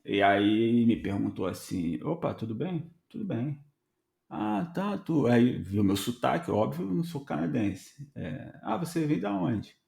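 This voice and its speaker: A Brazilian man hosting a podcast, telling stories in a casual, animated way and acting out bits of dialogue. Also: mid-range voice, a little slow, gravelly. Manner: conversational, animated storytelling with voiced dialogue. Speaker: podcast host.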